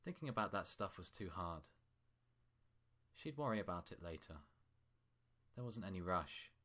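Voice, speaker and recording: A man with a low-pitched voice narrates a piece of fiction. He reads scripted prose as the storyteller, in a deep register. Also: animated, normal speed, bright, forceful tone.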